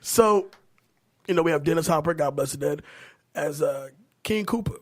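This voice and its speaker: High-pitched man in blunt, casual banter. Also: moderate pace. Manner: blunt, joking, slangy. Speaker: podcast panelist leading the discussion. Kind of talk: casual profane banter about movies.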